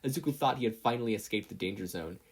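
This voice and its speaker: Husky mid-pitched story narrator. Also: fast talker. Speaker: podfic narrator. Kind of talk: animated scripted story narration.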